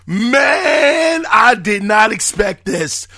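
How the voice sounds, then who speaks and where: A hoarse man hosting a podcast, shouting often. His voice sits very high for a man, bright and cutting. He speaks slowly and draws his words out. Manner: excitable, shouting. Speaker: podcast host. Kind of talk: casual podcast monologue.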